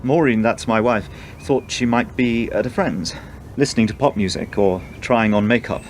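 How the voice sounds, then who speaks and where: Actor doing anxious prim dad, radio play, low subdued voice, short answers, faint noise. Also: male voice, moderate pace, close to an everyday voice. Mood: worried, subdued. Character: worried, prim middle-aged accountant father.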